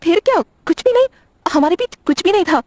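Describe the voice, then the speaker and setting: A woman's voice: extremely high pitch, lively intonation, brisk, a little mellow, no room sound, studio quality. A woman playing a nervous, apologetic young librarian in an audio drama, hesitant, stammering and defensive. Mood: flustered, defensive. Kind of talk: Hindi audio drama acting.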